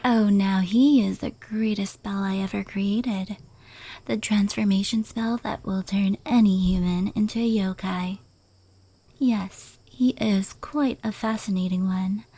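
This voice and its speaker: A woman plays a powerful, proud female fox yokai in a whispered ASMR roleplay. Her voice is soft and breathy, with a dark, mellow tone. She speaks slowly and intimately, like a fond confidante. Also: mid-range voice, fairly even intonation. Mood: proud, explanatory. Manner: unhurried, hushed, intimate.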